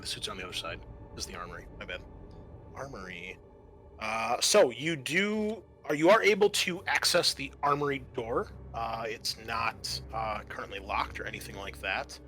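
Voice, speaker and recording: Bright-voiced man running a tabletop game. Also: medium pitch. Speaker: game master. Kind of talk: improvised tabletop game narration.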